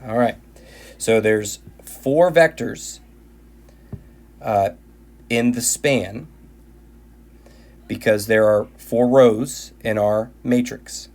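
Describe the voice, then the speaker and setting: A man teaching linear algebra in a recorded video lecture, his voice tired and going hoarse, often restarting and repeating phrases. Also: mid-range voice, drawn-out words, long pauses, darkish tone. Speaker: math teacher.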